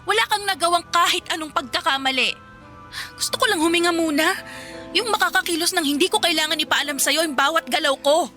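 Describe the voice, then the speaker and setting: A woman's voice: very high voice, brisk, pushed and bright, soft background music. A woman acting a weary, evasive girlfriend in a Filipino radio drama, speaking with firm exasperation. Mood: exasperated, firm. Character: weary, evasive young woman pulling away from her boyfriend.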